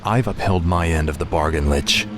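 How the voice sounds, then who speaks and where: An actor playing a fallen prince turned death knight in a game cutscene, blunt and sardonic. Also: male voice, deep voice, normal speed, background noise, lightly acted.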